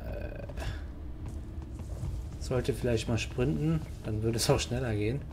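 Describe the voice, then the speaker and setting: A slightly hoarse man casually commenting as he plays a video game. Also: mid-range voice, a little slow, noisy background. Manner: relaxed, thinking aloud. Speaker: let's play host.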